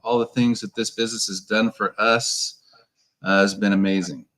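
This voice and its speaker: Earnest man guest in his 40s, slightly low voice, relaxed storytelling. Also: moderate pace. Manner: earnest, repeats words as he thinks. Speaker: interview guest. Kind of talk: casual online interview.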